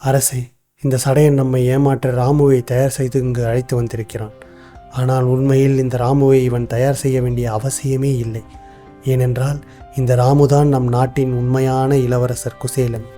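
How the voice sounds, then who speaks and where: A man playing a shrewd, composed royal minister in an animated tale, speaking in a formal, deliberate, explanatory way. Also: mid-range voice, faint music behind, lightly acted. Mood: grave, revealing. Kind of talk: animated moral story dialogue.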